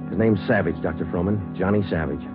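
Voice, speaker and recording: A man playing a terse, steady police sergeant in an old radio crime drama, clipped and deadpan. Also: low-pitched, brisk, close to an everyday voice. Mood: matter-of-fact. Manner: clipped, matter-of-fact, deadpan. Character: terse, steady police sergeant detective narrating his case. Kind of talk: 1950s radio crime drama acting and narration. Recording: muffled old radio recording with music.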